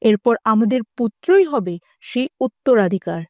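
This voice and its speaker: A woman's voice: fairly high pitch, sing-song, moderate pace, warm tone, no echo. Haughty, scheming cartoon queen. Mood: gloating, sly. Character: ambitious, wicked scheming queen of a fairy tale. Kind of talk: Bengali fairy-tale cartoon acting.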